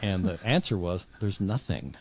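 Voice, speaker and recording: Deep-voiced middle-aged man telling his story. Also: very animated, background noise. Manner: halting, repeats words for emphasis. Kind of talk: reflective personal storytelling in an interview.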